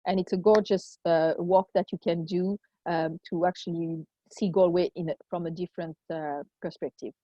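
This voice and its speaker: Woman with a low, muffled voice. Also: steady tone, moderate pace, few pauses, warm tone, no room sound. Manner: hesitant, many fillers. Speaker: volunteer giving a city tour. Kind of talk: informal online presentation. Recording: dry, muffled video call audio.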